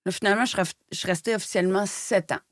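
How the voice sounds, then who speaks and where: A woman's voice: mid-range voice, fairly even intonation, rapid-fire, no room sound, studio quality. A woman with a Shawinigan Quebec French accent telling her story in candid casual chat, frank and colloquial, often searching for words. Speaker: podcast guest, former model and designer.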